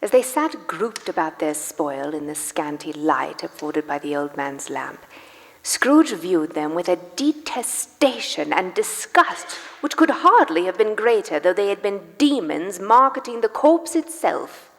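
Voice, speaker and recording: A woman narrating a dramatic story live in a reverberant church, with grave, deliberate phrasing. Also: on the low side, very animated, fairly bright tone, heavily acted. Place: live performance in a large church. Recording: reverberant church hall, music behind. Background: music.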